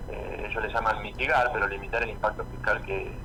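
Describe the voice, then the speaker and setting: A man with an Argentine accent giving spoken political and economic commentary as a radio columnist, halting and repeating words as he thinks aloud. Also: lowish voice, steady tone, noisy background.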